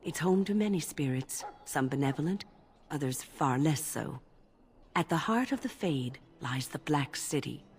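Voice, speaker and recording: A woman playing a kindly, composed older female mage in a fantasy video game, speaking in a measured, gently instructive way. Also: low-pitched, lively intonation. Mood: thoughtful.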